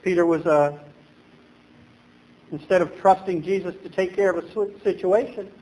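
A man in his thirties preaching a sermon from a lectern in a small chapel, animated and emphatic, recorded by a camera at a distance. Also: high voice, moderate pace. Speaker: pastor.

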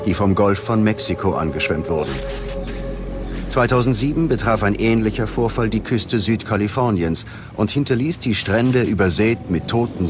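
Male documentary narrator, lowish voice, measured and dramatic, music underneath. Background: background music.

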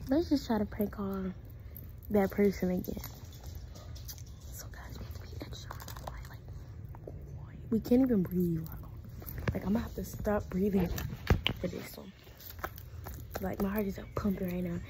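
Young female vlogger, American, dark soft-edged tone, casual slangy delivery, handheld phone sound with slight room noise. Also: medium pitch, low-quality recording. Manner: informal, slangy, halting, drops to hushed asides. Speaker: vlogger making prank calls. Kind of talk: casual chat to camera. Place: small indoor room. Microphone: phone held at arm's length.

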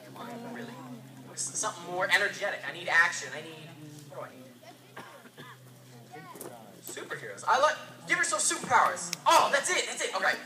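A man's voice: high voice, sing-song, a little fast, pauses often, pushed and bright, heavily acted. A man playing a bossy, exasperated director in a comedy sketch, stammering and repeating his orders impatiently. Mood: excited, bossy. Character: bossy, excitable, exasperated male director running rehearsals. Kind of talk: improv-style sketch acting. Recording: echoey noisy room, distant mic, audience.

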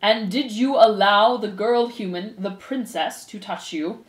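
A woman voicing a stern old male head mouse who speaks slowly and formally, as in a storybook. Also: medium pitch, roomy sound, close to an everyday voice, rough recording. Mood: stern, solemn. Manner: slow, formal, commanding delivery.

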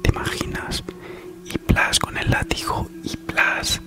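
A man in his thirties with a Spain accent whispers a mock-soothing, teasing ASMR comedy monologue. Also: loud music behind the voice. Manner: slow soothing delivery with mock-reassuring teasing. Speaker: ASMR parody YouTuber. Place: small living room at home, on a sofa.

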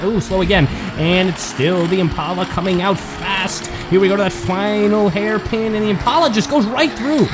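A man with a high voice calls a diecast car race play by play, and his voice climbs with excitement at close finishes. Clear background music plays throughout. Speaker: race commentator.

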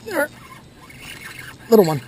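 A young man vlogging while fishing from a boat outdoors, talking casually with lots of filler words, getting excited when he hooks a fish. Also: very high-pitched, takes it slowly, slight background noise. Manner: excitable, laughing, many "uh" fillers. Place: outdoors on a small boat on a river.

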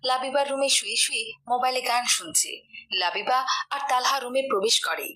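Woman doing cartoon story narration, pretty high bright voice. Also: a little fast.

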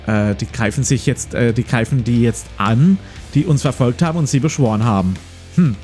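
A man's voice: mid-range voice, normal speed, darkish tone. A man in his forties hosting a let's play, reading a gamebook aloud and commenting casually. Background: plucked guitar music.

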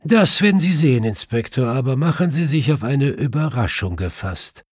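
A man's voice: mid-range voice, animated, moderate pace, slightly rough, no echo, clean studio sound, voice pushed up. A man voicing a self-assured, wry detective who gives confident commands in an audiobook.

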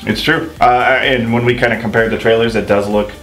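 A man in his thirties co-hosting a gaming show, chatting casually with slangy, animated opinions. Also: medium pitch, brisk. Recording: roomy echo, music underneath. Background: music.

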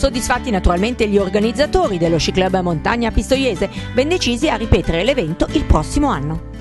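A woman narrating a sports news report in an even, formal reading voice. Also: mid-range voice, fairly quick. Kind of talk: scripted sports news voice-over. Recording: voice-over over loud music.

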